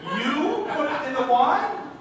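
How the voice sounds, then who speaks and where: An actor playing a flustered, incredulous nephew in a live stage comedy, stammering and exasperated. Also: male voice, very high-pitched, very animated, slow pace, full character voice. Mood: exasperated, alarmed. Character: flustered, incredulous young nephew, theatre-critic type. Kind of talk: stage comedy radio-theatre acting. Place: live theatre, distant microphone. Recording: roomy, noisy stage recording from far off.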